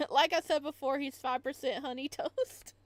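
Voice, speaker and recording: Higher-voiced woman reading a visual novel aloud. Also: fairly even intonation, normal speed, dry recording. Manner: stumbles over words while reading. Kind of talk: casual let's play, reading aloud with side comments.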